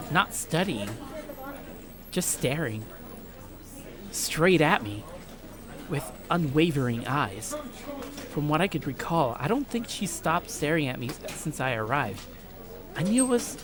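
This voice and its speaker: A young man reading a visual novel aloud as a let's play host, with irreverent, jokey, sweary casual commentary. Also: fairly high pitch. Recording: game music behind. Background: music.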